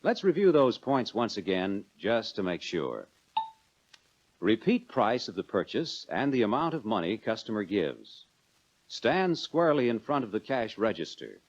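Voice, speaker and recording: An American man narrating a 1940s instructional film in a measured, instructive manner, addressing the viewer directly. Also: lowish voice, big pitch swings. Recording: dull lo-fi 1940s film soundtrack.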